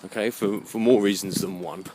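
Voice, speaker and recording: Man musing over steady background noise. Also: lowish voice. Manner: halting, musing, repeats phrases. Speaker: vlogger. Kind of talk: rambling unscripted spoken monologue.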